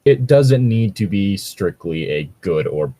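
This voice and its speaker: A man giving casual, conversational commentary in a halting way, with lots of filler words and some swearing. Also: sits low, expressive, warm tone, slightly rough. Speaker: podcast host reacting to read-aloud Reddit posts.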